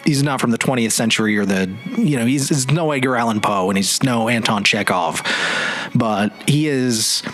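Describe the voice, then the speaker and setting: A man's voice: mid-range voice, normal speed, fairly bright tone. A man hosting a solo podcast in a casual, reflective and rambling way, often searching for words. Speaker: podcast host. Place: home office indoors. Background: music.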